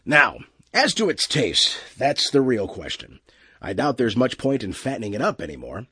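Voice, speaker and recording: A man's voice: mid-range voice, wildly swooping pitch, moderate pace, bright, forceful tone, husky, dry recording, heavily acted. A man voicing a brash, callous spaceship captain in an audiobook, blunt and dismissive. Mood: cold, commanding.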